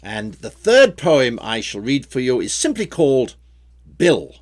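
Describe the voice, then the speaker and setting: A man reading his own story poems in a measured, theatrical way with a rhyming cadence. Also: mid-range voice, very animated. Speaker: poet reading own work. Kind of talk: dramatic poetry recitation.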